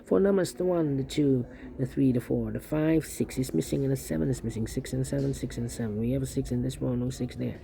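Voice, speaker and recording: Male tutorial presenter: relaxed, creaky voice of average pitch, methodical step-by-step delivery with muttered repetition. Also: brisk, slight background noise. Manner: repetitive, methodical, muttering to himself while working. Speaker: puzzle instructor. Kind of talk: step-by-step Sudoku tutorial commentary, thinking aloud.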